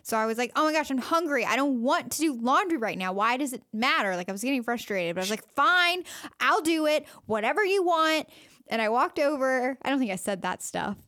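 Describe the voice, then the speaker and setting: A woman's voice: high voice, lively intonation, a little fast, sharp tone. A woman in her late twenties telling a personal story in a casual couple chat, rambling with lots of fillers. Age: 20s. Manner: chatty storyteller, many fillers, laughing often.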